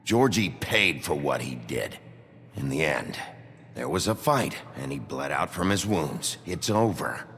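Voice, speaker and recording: An actor playing a gruff, short-tempered sheriff in a video game, with a gravelly voice and a terse, confrontational manner. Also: male voice, rumbling low, lively intonation, measured pace, a bit bright, heavily acted. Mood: grim, weary. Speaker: sheriff character. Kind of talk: dramatic video game voice acting. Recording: reverberant hall, music underneath.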